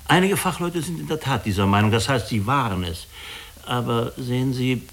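A man playing a diplomatic, persuasive agency official in a German radio drama, speaking formally and deliberately with small hesitant pauses. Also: mid-range voice, expressive. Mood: probing, smooth. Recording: clearly hissy radio recording.

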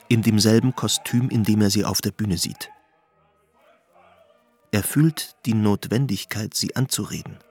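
A man narrates a literary radio play. His voice is low and husky, with measured, suspenseful phrasing and faint music around it.

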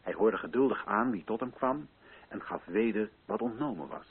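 A solemn colonial official in a radio drama, declaiming to assembled chiefs. His phrasing is rhetorical and deliberate, with wide swings in pitch. The sound is husky and narrow, like an old muffled broadcast. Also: expressive. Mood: hushed, reverent. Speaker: actor in a radio play. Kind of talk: declaimed theatrical oratory in a radio play.

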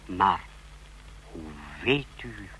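Male intelligence chief serving a dictator, very bright forceful voice, formal and servile, noisy old radio recording. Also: deep voice, lively intonation, drawn-out words, exaggerated voice. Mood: chastened, uneasy. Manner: formal, deferential, emphatic when reporting. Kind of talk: old radio play acting.

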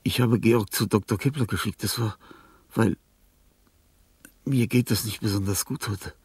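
An actor playing a dying, lonely old artist in a radio drama, confused and weary, halting and often sinking into whispers. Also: male voice, lowish voice, a little mellow, slightly rough, dry recording, far from the mic. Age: older. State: weak, weary. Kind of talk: radio drama acting, interior monologue.

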